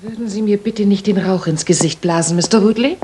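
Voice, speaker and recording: An actress playing a proper young Victorian lady in a radio play, polite and measured, with a husky, breathy voice. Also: mid-range voice, warm tone, slight background noise, natural voice. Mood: firm, indignant. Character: proper, modest young Victorian lady client, firm when pestered. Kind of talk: dramatic German radio play acting.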